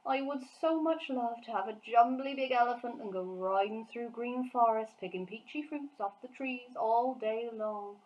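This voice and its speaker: A woman voicing a huge, gentle, emotional male giant from a storybook, who talks in muddled grammar and made-up words. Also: a little high, normal speed, hardly any pauses, highs cut off, pitched above the performer's own voice, natural voice. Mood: dreamy, wistful.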